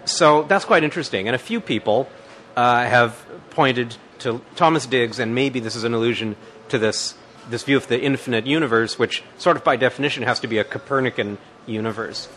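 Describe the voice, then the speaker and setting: A man's voice: medium pitch, brisk, fairly bright tone, slight background noise. A man answering as a guest expert, explaining in a thinking-aloud way with many restarts and fillers.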